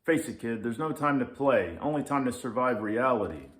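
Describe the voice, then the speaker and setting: A man's voice: mid-range voice, lively intonation, normal speed, close to an everyday voice. A man voicing a grumpy, harried male lynx in a children's story, with an emphatic, scolding, impatient manner. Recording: roomy echo.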